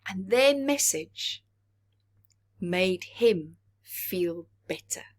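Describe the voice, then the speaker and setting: A woman narrates a children's picture book in a slow, deliberate way. Her pitch moves in lively swoops for dramatic effect, and her voice has a husky, breathy edge. She speaks as if directly to young listeners. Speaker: children's story reader. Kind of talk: expressive read-aloud picture-book narration with whispered asides.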